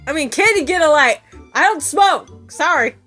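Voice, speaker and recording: A high, very lively female voice chats through recording outtakes at a relaxed pace. She giggles, mocks herself and swears as an amateur voice actor would between retakes. Soft music sits behind her. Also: moderate pace. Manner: giggly, self-mocking, swearing. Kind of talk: recording session outtakes, flubbing and retaking lines.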